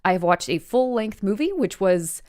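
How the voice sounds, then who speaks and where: A woman's voice: mid-range voice, animated, moderate pace, darkish tone. A woman giving a casual, chatty recap to camera, enthusiastic and full of emphatic asides. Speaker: video creator and wrestling reaction host. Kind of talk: casual scripted recap vlog.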